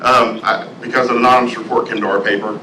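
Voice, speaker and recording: A man working as a reporter, politely and haltingly putting questions at a public meeting. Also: mid-range voice, little pitch movement, pushed and bright. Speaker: newspaper reporter. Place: indoor meeting room. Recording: echoey, noisy meeting room.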